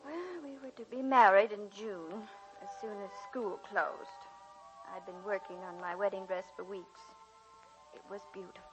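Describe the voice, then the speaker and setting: An actress playing an earnest, genteel young American college teacher in a 1940s radio drama, speaking crisply, on a vintage recording. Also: female voice, mid-range voice, normal speed, music in the background, natural voice, rough recording. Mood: wistful reminiscing.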